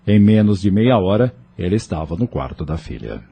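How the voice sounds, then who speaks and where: A man narrating an audio novel in a measured, expressive storytelling way. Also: low voice, vocal fry, breathy edge, no room sound.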